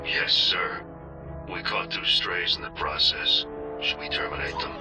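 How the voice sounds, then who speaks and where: An actor playing a slow, menacing villain's henchman in a sci-fi video game. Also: low voice, wildly swooping pitch, full character voice. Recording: loud music behind.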